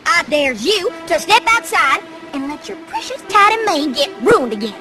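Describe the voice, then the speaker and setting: A blunt young cowgirl pony from a cartoon, drawling in a Southern US accent. The voice is very high and swings freely in pitch. It is plain-spoken and stubborn, with loud music behind it. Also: big pitch swings, normal speed. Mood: irritated, challenging. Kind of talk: cartoon voice acting. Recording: music under the voice.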